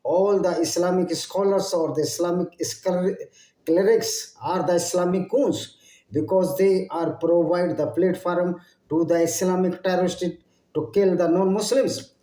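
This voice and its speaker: A man with an Indian English accent and a fairly high but mellow voice delivers a fervent monologue straight to his viewers. His English is halting, and he restarts and repeats phrases as he pushes on. The sound carries a roomy echo. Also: fairly even intonation. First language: Hindi. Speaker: channel host. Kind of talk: impassioned solo monologue to viewers.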